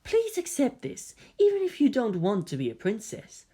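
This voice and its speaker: A woman voicing a coy, androgynous hybrid youth with genteel, gently teasing politeness and quiet menace. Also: on the high side, wildly swooping pitch, moderate pace, breathy edge, voice pushed up, exaggerated voice. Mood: gentle, gracious.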